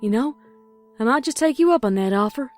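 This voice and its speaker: A woman's voice: on the high side, lively intonation, a little fast, darkish tone, soft background music, natural voice. A woman with an American Southern accent voicing a proud, protective young farm mare in a cartoon pony audio drama. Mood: hesitant.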